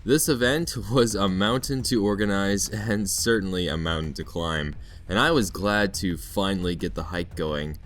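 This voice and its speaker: A man's voice: deep voice, moderate pace, hardly any pauses, fairly bright tone, slight background noise. A Canadian man narrating a scripted vlog in a playful storytelling way with mock-dramatic flourishes. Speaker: vlog narrator, teacher in Japan.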